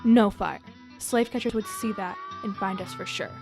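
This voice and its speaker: A woman's voice: mid-range voice, fairly even intonation, normal speed, music in the background, natural voice. A female student reader playing a brave, commanding woman who guides escaped slaves in a school radio drama. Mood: stern, commanding.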